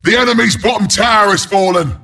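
An actor voicing a mean, cocky porcupine beast as a video game announcer, with a British accent, a gravelly rasp and a rowdy, taunting, blunt delivery. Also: male voice, high voice, fairly quick, sharp tone, full character voice. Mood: urgent, rowdy. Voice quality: gravelly, raspy. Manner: taunting, rowdy, blunt, mock-sympathetic asides.

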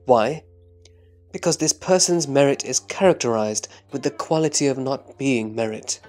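A man playing a reverent disciple answering his master in measured, scripted scripture drama. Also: mid-range voice, darkish tone, soft background music, natural voice. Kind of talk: scripted audio drama recitation.

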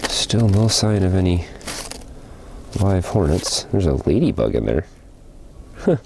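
A man casually narrating as he cleans out an old hive outdoors, thinking aloud with small pauses. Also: deep voice, sing-song, creaky, darkish tone, a little hiss or hum, rough recording. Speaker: hobby beekeeper and channel host. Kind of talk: casual narration while working. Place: outdoors beside a wooden beehive.

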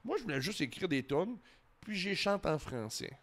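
A man in his forties with a Franco-Ontarian French accent, a podcast guest talking casually in a living room, animated and full of restarts. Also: high voice, moderate pace. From Ontario, Canada. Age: 40s. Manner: animated, lots of restarts and swearing. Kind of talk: casual chat. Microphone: handheld mic.

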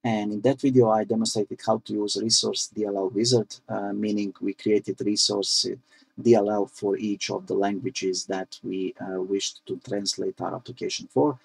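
A man with a low, rough, gravelly voice, Croatian his native tongue, talks through a screen-recorded programming lesson. He stops and restarts often, filling gaps with "uh." The tone stays patient and explanatory. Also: flat delivery, dry recording, poor audio. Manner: halting, frequent "uh" fillers, explanatory. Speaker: programming tutorial presenter. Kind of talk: screen-recorded coding tutorial.

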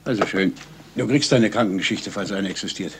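An actor playing a brisk, wry police colleague in a 1970s radio crime play. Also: male voice, lowish voice, noisy background, close to an everyday voice. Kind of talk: 1970s German radio crime drama acting.

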